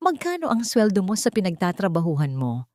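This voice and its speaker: A woman voicing a stern, commanding old patriarch in a romance story, calm and probing. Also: mid-range voice, fairly quick, no echo, studio quality.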